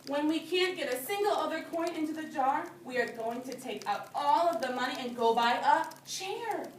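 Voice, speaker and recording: A high-pitched woman reads a children's story aloud in the manner of a classroom teacher, stopping to explain it simply. She is some way from the microphone in a roomy, echoing space, with a little background noise. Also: expressive, normal speed, distant mic. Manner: simple explanatory phrasing, addressing small children. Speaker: teacher reading a picture book aloud to young children. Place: indoor classroom.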